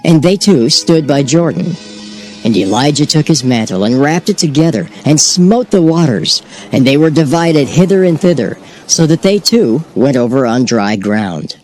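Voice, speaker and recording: A man's voice: a little high, expressive, normal speed, a little mellow, poor audio. Solemn male scripture narrator over music. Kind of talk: dramatized scripture reading.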